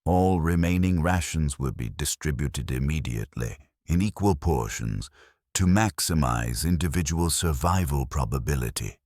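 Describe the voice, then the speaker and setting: A man narrating a scripted first-person science fiction horror story in a measured, grave manner. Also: rumbling low, lively intonation, darkish tone, dry recording, studio quality.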